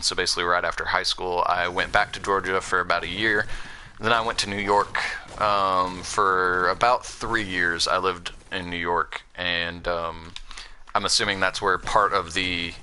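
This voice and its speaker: Young American guy, Southern-Northern accent, deep and crisp, rambling stream chatter over faint game noise. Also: pushed and bright. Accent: American, mixed Southern and Northern. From Southern United States. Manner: rambling, many fillers and restarts. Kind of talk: casual chat while gaming.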